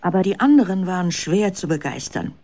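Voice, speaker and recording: A woman's voice: on the low side, lively intonation, a little fast, mellow. A woman tells the story as narrator of a children's radio play. Her delivery is scripted storytelling between the acted scenes.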